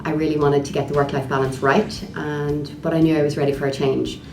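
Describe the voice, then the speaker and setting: A woman with a very low, flat voice speaks calmly and composedly. Her voice echoes in a roomy space. Steady background noise sits behind her. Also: brisk. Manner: composed, with filler ums. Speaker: associate director. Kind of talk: personal story in an interview.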